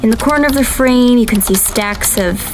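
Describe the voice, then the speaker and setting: A woman's voice: on the high side, moderate pace, background noise. A woman acting an anxious, self-critical surveillance analyst in an audio drama. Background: music.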